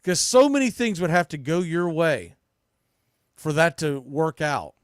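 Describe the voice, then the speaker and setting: A man hosts a sports podcast in a fairly high voice, recorded close and dry. He talks informally and puts real emphasis behind his opinions, in the style of casual sports commentary. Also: sing-song, measured pace, no echo.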